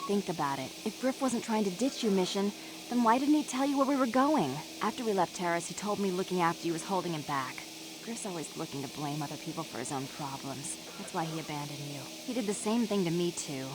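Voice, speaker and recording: A woman's voice: fairly low pitch, expressive, a little fast, background noise, close to an everyday voice. An actress voicing a jaded, blunt young woman in a video game, exasperated and plain-spoken. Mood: exasperated, bitter. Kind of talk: video game character dialogue.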